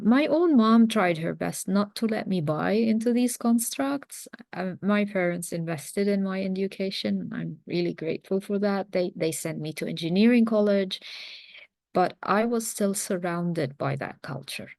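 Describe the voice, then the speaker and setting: A woman's voice: medium pitch, gravelly, darkish tone, dry recording, clean studio sound. A woman of around forty, giving an earnest, reflective personal talk as a coach. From mountains of Lebanon. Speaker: parenting coach, series host. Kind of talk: personal talk introducing a series.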